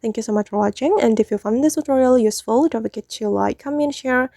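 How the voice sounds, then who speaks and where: A woman's voice: on the high side, fast, creaky, warm tone, dry recording, clean studio sound. A woman hosting a tutorial, narrating casual step-by-step instructions. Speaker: tutorial host.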